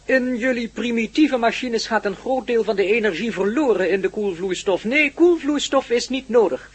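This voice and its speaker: A man's voice: very high voice, breathy edge. Een man die in een hoorspel een kalme, superintelligente buitenaardse assistent speelt, precies en zakelijk. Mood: matter-of-fact, superior. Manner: precise, matter-of-fact, unhurried. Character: calm, superintelligent male alien posing as an assistant. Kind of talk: Dutch radio play acting.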